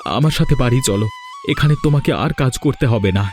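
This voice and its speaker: A man's voice: mid-range voice, expressive, a little fast, a little mellow, natural voice. A man voicing an earnest young husband in a Bengali cartoon, speaking emphatically. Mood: pleading. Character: earnest young husband pleading with his wife. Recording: music behind the voice.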